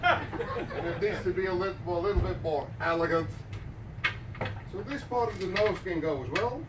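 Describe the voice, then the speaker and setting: A man's voice: high voice, big pitch swings, normal speed, reverberant, very noisy. A middle-aged man from the Netherlands with a Dutch accent, a clog maker joking and chuckling his way through a live craft demonstration in an indoor workshop. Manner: jokey, chuckling between remarks.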